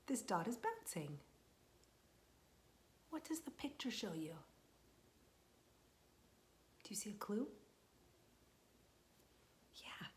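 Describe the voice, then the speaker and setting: A young woman, a children's librarian, reading a picture book aloud to small children, gentle and patient, asking them questions and waiting for their answers. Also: medium pitch.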